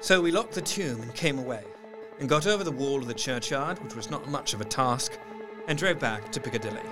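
An actor playing a troubled, sceptical gentleman doctor, narrating his diary in intense, formal literary diction for a gothic drama. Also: mid-range voice, animated, brisk, close to an everyday voice. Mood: doubting, reflective. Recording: music under the voice. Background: music.

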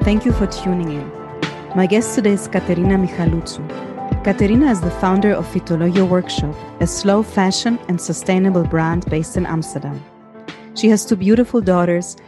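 A woman hosting a podcast, reading a measured introduction and asking her guest questions. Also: fairly low pitch, dark tone. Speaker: podcast host. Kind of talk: scripted introduction and interview questions.

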